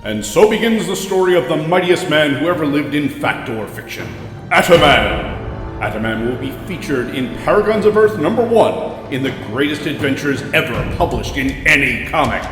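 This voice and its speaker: An actor playing a grandiose, portentous announcer narrating an old-time radio superhero serial, in an echoey reverb. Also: male voice, a little high, sing-song, normal speed, fairly bright tone. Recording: echoey long reverb over music.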